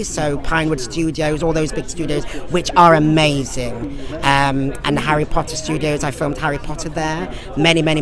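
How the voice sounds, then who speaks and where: An English man from Lincoln chatting effusively and jovially as a pantomime actor being interviewed. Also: fairly high pitch. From Lincoln, England. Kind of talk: casual interview chat. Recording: loud crowd chatter behind.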